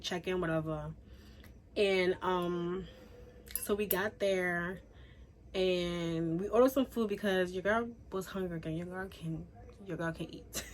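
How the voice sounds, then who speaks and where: Chatty young woman telling a story. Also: mid-range voice. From Haiti. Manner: chatty, animated, says "like" and "y'all" a lot. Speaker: YouTuber. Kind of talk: casual storytime.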